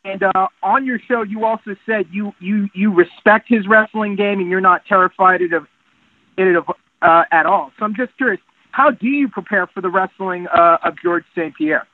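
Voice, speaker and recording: Very high-pitched male reporter over telephone. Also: normal speed, no room sound. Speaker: reporter asking questions. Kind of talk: prepared press questions on a conference call. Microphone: phone. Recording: tinny narrowband phone line.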